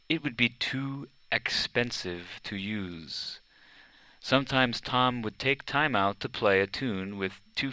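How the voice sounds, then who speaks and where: A man narrating a children's biography from a script, in measured, deliberate storytelling phrases. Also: fairly low pitch, bright, forceful tone, no echo, rough recording. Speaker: audiobook narrator.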